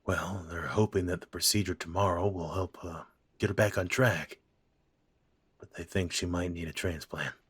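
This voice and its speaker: A man playing a blunt, weary aging father who sighs and speaks slowly. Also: low-pitched, steady tone, a bit bright, husky, heavily acted. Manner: blunt, sighing, slow-spoken.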